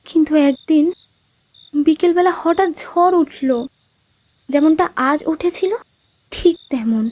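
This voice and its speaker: High-pitched invisible young woman, gentle and wistful. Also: expressive, a little slow. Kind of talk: Bengali animated love ghost story dialogue.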